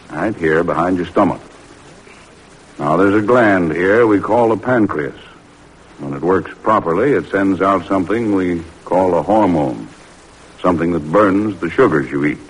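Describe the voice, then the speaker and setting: Very deep-voiced, kindly doctor. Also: male voice, lively intonation, a little slow, slightly rough, full character voice, low-quality recording. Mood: gentle. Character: tired, kindly, candid family doctor narrating his rounds. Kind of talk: 1940s radio acting.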